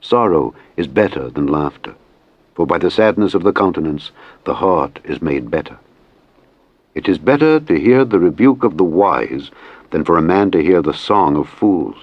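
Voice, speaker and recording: A man narrating scripture in a solemn, measured and declamatory reading style. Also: deep voice, animated, no room sound, dull audio.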